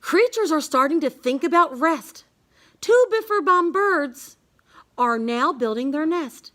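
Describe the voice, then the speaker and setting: Female storybook reader, extremely high voice, unhurried singsong delivery of children's verse. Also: lively intonation, a bit bright. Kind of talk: read-aloud of a rhyming children's picture book.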